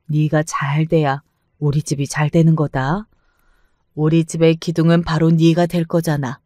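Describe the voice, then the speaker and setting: A man voicing a middle-aged mother who pushes her eldest son to study, speaking slowly and coaxingly. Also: on the high side, dark tone, no echo, studio quality, close to an everyday voice.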